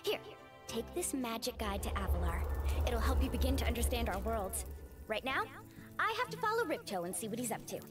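Bossy young fawn, high, animated, brisk, game music behind. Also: female voice. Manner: brisk, takes charge. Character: spirited young female fawn, bossy guide.

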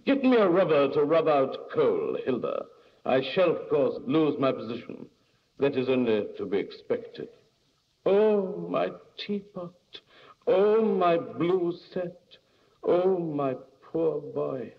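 Storybook role: distraught household voiced by a man, melodramatic wailing and fretting, high and swooping. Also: big pitch swings, normal speed, long reverb tail, lo-fi sound, close to an everyday voice. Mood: lamenting. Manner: lamenting, melodramatic. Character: wailing, fretful parents and shrieking sister in household uproar.

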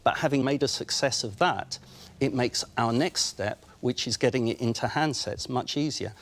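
Entrepreneur, male, forties, English accent, measured answers under questioning. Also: mid-range voice. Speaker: entrepreneur pitching. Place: large brick-walled studio, indoors.

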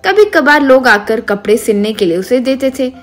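A woman's voice: fairly high pitch, lively intonation, normal speed, soft background music. A woman narrating a scripted storybook tale as the narrator.

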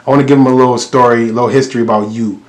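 A man hosting a casual interview, relaxed and often repeating words as he shapes his questions. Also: medium pitch, rapid-fire, echoey room. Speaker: interview host.

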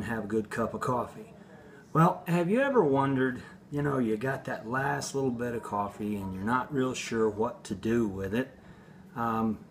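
Slow, deadpan man in a home kitchen. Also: mid-range voice. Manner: slow, rambling, deadpan, with hesitations. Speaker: home cooking video host. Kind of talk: casual home cooking demo. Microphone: phone camera on the counter.

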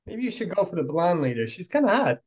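Man reading cheeky teasing guy, higher-pitched. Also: very animated, fast talker, darkish tone, slightly rough, heavily acted. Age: middle-aged. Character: cheeky, tipsy man teasing his relatives. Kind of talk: screenplay table reading. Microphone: video call.

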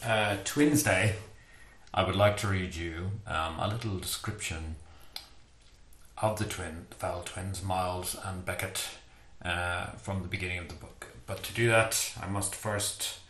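Playful Irish man reading aloud, low voice. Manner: playful, self-deprecating, lively storyteller. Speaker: author reading his book. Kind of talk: reading a book passage aloud. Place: home study with bookshelves, indoors. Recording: echoey room.

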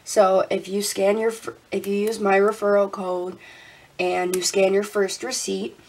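A young woman vlogging casually at her desk. She sounds congested and a little raspy, laughs often and counts money out loud. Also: medium pitch, flat delivery, a little slow. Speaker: budgeting vlogger. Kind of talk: casual talk while counting cash. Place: indoors at a desk.

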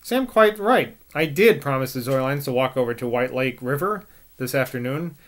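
A man reading aloud and voicing a gentle, mildly humorous older male clergyman who speaks softly. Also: medium pitch, expressive, moderate pace, natural voice. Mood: decisive. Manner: mild, soft-spoken.

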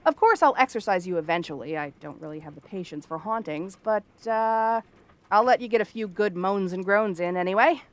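A woman's voice: mid-range voice, a little fast, close to an everyday voice. A woman playing a cranky, tomboyish young frog-girl princess in a fantasy roleplay, sarcastic and haughty. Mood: brisk, dry. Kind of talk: scripted fantasy ASMR roleplay.

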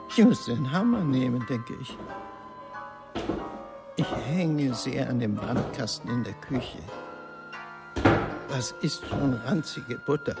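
An actor in a radio drama playing a lonely, impoverished old composer in a halting, plaintive manner. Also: male voice, mid-range voice. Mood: resigned. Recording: music mixed loudly behind.

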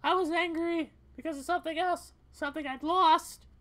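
A woman voicing a scared, angry, defiant young female cat in a video game, halting and earnest. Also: very high voice, fairly even intonation, fairly bright tone. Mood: raw, anguished. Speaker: gaming video player voicing the characters.

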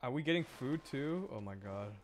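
A man's voice: medium pitch, animated, normal speed. A young man streaming a video game, chatting casually and rambling, often repeating words as he thinks aloud.

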